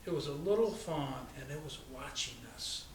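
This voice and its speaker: An American man, a pastor, reading a children's story aloud in an expressive storytelling way. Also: fairly high pitch, normal speed. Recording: echoey, distant, noisy room recording.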